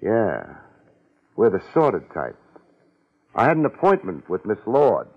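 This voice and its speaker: A man's voice: fairly low pitch, huge pitch swings, a little slow, husky, exaggerated voice. A man playing a sardonic, wisecracking private detective in a 1950s radio drama, speaking in terse, clipped sentences. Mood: needling, wry. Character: hard-boiled wisecracking male private detective. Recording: muffled vintage 1950s radio recording.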